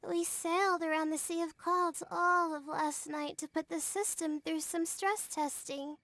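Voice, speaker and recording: A woman's voice: extremely high pitch, steady tone, measured pace, fairly bright tone, no echo, exaggerated voice. A woman voicing a meek, worn-out young student in a video game, hesitant and drowsy. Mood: polite, tired. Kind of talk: English video game voice acting. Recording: music behind the voice.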